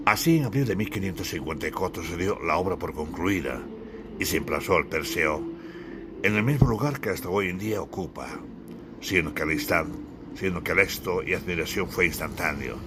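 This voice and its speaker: A man's voice: low voice, lively intonation, fairly quick, background noise. A man reading a scripted audiobook in a solemn, literary, declamatory manner. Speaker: author narrating his own audiobook. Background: music.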